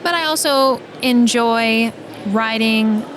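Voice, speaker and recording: A woman's voice: a little high, takes it slowly. A woman from New Mexico with an American accent, chatting casually as an interview guest. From New Mexico, USA. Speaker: guest, audio drama creator and writer. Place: live at an event being packed up. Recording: clattering teardown noise, busy event. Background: things dropped, loud ambient clatter.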